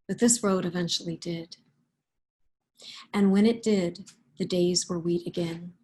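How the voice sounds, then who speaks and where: A woman with a soft, breathy voice, speaking in a thoughtful, unhurried manner as a picture book author. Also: on the low side. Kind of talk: read-aloud storytelling and reflective interview talk.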